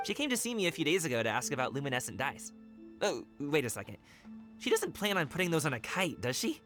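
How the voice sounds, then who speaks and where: An eager young male guard from a fantasy game. His delivery is breezy and friendly, swinging freely up and down, with clear music playing behind him. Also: a little high, big pitch swings, fast, fairly bright tone. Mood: friendly, concerned. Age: young adult. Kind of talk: animated video game dub acting. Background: background music.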